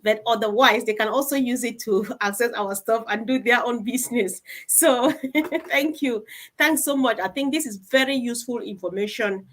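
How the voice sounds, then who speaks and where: A woman's voice: fairly high pitch, moderate pace. A woman hosting a live online group discussion, speaking in an encouraging, effusively thankful manner. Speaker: host of an online team meeting.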